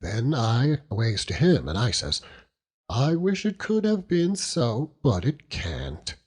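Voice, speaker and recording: Hearty old country seaman, chuckling, overjoyed, lively pitch, unhurried audiobook character. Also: husky, full character voice. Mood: fond, earnest. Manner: chuckling, rambling, dialect-heavy storytelling with exclamations. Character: hearty old working-class seaman uncle, doting and overjoyed.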